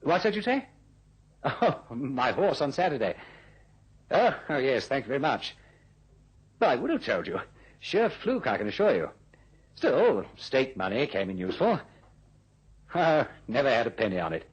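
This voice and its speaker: An actor playing a scheming, smooth-talking businessman in a radio drama, with a glib, wheedling and condescending manner. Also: mid-range voice, sing-song, normal speed, pauses often, dull audio. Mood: brisk, affable. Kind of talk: old-style radio drama acting.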